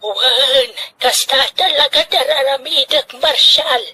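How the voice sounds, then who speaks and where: An actress with an Ilocano accent playing a sorrowful, weary mother with drawn-out plaintive delivery in a radio drama. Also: high-pitched, sharp tone, exaggerated voice.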